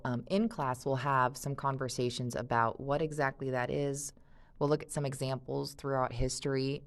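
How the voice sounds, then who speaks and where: A woman teacher reading a novel aloud and chatting about it with her class in a conversational, thinking-aloud way. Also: extremely low pitch.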